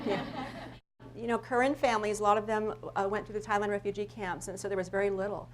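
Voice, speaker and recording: An American woman speaks as a school principal giving an informal talk. Her voice sits at a middle pitch, and she moves conversationally through anecdotes, often breaking off to rephrase. Faint noise and a hum run behind her. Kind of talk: informal live presentation with slides and stories.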